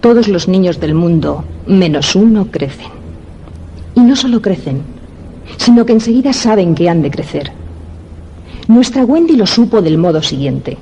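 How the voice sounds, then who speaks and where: An actress playing a woman who reads a children's tale aloud in a radio drama, tender and deliberate. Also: medium pitch, expressive, mellow, a little hiss or hum, close to an everyday voice. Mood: gentle storytelling. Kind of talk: radio drama storybook reading.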